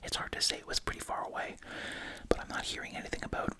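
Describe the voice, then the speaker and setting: An ASMR host speaking in a breathy, unvoiced whisper, reading aloud and musing casually. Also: fast.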